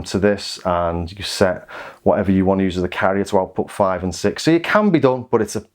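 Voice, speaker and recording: Low-pitched British man, chatty gear reviewer. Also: a little mellow. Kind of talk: casual product overview.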